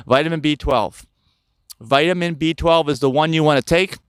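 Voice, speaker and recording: A man's voice: a little high, moderate pace, clean tone, no room sound, studio quality. A man in his fifties talking casually to viewers outdoors in the woods, rambling and often turning to them with questions. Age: 50s. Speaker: health channel host. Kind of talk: casual talk to camera.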